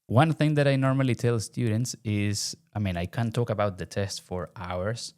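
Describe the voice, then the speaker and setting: A man with a fairly low voice hosting a podcast interview. He speaks as a teacher and puts direct, friendly questions to his guest. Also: moderate pace, darkish tone, clean tone. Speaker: host, English test teacher.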